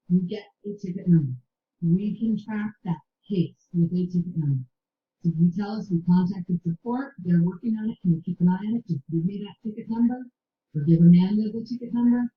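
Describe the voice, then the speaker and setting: A woman's voice: on the low side, roomy sound, highs cut off. A woman presenting a product to teachers over a call, casual and chatty with many fillers. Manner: casual, filler-heavy, with light laughs. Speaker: publisher sales representative.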